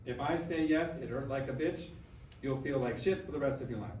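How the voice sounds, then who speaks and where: A man's voice: medium pitch, a little fast, a little mellow, a little hiss or hum, natural voice. A man playing a wry, affectionate old grandfather in a staged musical reading, hedging and stumbling over his words. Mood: blunt, subdued. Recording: roomy, distant stage recording with piano.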